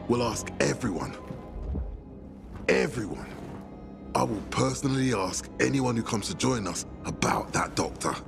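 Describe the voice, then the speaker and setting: A persuasive, gravelly older military leader. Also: male voice, mid-range voice. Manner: rhetorical, measured, coaxing. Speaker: voice actor in a video game. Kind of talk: cinematic game dialogue.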